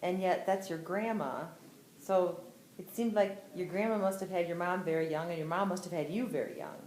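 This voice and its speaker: A woman's voice: lowish voice, normal speed, darkish tone. A woman interviewing an older relative in a casual way, asking curious, prompting questions. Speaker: interviewer, family member. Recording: roomy home recording with faint noise.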